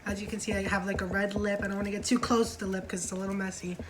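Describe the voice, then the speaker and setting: A woman's voice: medium pitch, little pitch movement, very fast. Chatty woman with noise behind. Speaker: vlog host reviewing a TV show. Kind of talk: casual chatty review.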